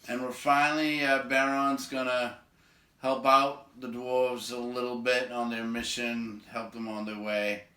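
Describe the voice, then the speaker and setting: A man's voice: mid-range voice, level tone, measured pace, pushed and bright. A man reading a storybook aloud as host, with casual commentary along the way. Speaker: read-aloud host and reader. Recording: roomy, echoing home recording.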